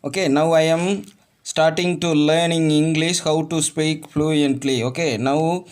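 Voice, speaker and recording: Slow, halting man. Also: on the high side, steady tone. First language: Tamil. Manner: halting broken English, repeats "okay" between phrases. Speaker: presenter. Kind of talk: home remedy cooking demonstration.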